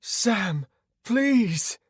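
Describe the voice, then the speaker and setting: A fragile young woman, played by a male narrator, frightened and pleading. Her voice is lifted high and tremulous, with slow, swooping phrases in a dramatic fantasy story. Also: expressive, husky, no room sound, studio quality, exaggerated voice. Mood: desperate pleading.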